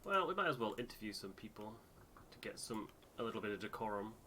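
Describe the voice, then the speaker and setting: Male gaming co-host, mid-pitched and bright, casual commentary and read-aloud game text, slight background noise. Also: big pitch swings, quick pace.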